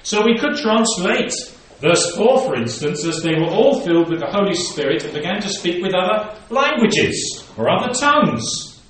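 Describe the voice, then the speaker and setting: A man's voice: high-pitched, sing-song, moderate pace, few pauses, a bit bright, a little hiss or hum. A man preaching a sermon, reading scripture aloud and then explaining it to his congregation. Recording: very echoey room, voice from a distance.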